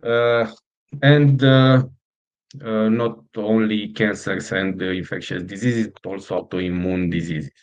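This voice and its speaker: A middle-aged man from Romania with a Romanian accent, a startup founder answering interview questions in halting speech full of fillers and restarts. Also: lowish voice, fairly even intonation, highs cut off.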